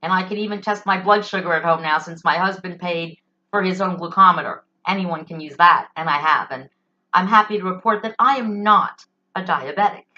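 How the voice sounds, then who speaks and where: Bright-voiced American woman, dull lo-fi recording. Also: on the low side. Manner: indignant, emphatic, complaining. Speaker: solo talk show host. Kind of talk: conversational opinion monologue. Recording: dull, lo-fi sound.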